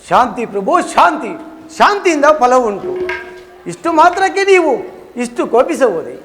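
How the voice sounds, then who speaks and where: A man's voice: very high-pitched, very animated. An actor playing a wily warrior courtier who placates his lord in a declamatory mythological stage drama. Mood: placating. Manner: placating, measured, theatrical. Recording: reverberant hall, faint music behind.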